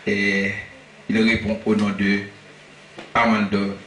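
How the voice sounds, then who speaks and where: A man introducing a guest speaker at a church gathering, measured and affirming. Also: on the low side, completely flat delivery, a bit bright. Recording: echoey hall, far mic, noisy.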